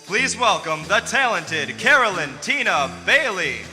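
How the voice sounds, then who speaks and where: A man's voice: high voice, very animated, measured pace. A man playing a showy 1940s radio announcer, formal and grandly projected like a showman. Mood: grand, welcoming. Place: theater stage before live audience. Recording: echoey theater hall, music behind.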